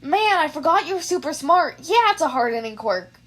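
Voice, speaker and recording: A woman's voice: high voice, big pitch swings, normal speed, a bit bright, pitched above the performer's own voice. A woman voicing a friendly, modest teenage boy with a casual, slangy way of talking, in a story reading. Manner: casual, slangy, good-natured. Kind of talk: fan fiction audiobook character voice.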